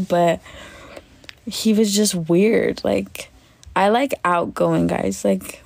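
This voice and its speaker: A young woman with a self-described deep voice, her tone low, mellow and full of vocal fry. She chats loosely into a phone held at arm's length while lounging in bed, filling her sentences with "like." The sound is close and dry. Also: no room sound. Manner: chatty, filler-heavy, lots of "like". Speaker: livestreamer. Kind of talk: casual livestream chat reading comments. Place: bedroom, lying on a bed indoors.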